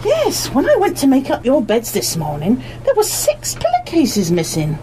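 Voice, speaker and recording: A woman's voice: sits high, wildly swooping pitch, moderate pace, mellow, a little hiss or hum. A woman playing a homely, fussing sister who hosts her guests in a live radio play. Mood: puzzled, fussing.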